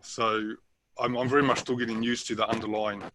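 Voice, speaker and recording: A man's voice: mid-range voice, level tone, a little fast. A man from New Zealand with a Kiwi accent, speaking hesitantly with many fillers in a panel discussion over a video call. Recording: noisy video call audio.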